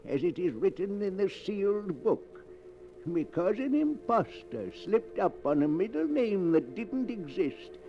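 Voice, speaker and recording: An actor playing the sinister keeper of a book of tales, narrating old radio horror in an ominous, theatrical manner. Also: male voice, high-pitched, heavily acted. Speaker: horror show host. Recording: muffled vintage radio, music behind.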